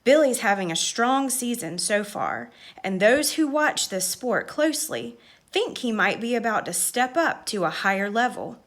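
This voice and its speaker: A woman's voice: fairly high pitch, animated, moderate pace, fairly bright tone. A woman voicing an earnest young boy who talks like a solemn, pompous sports commentator in a storybook.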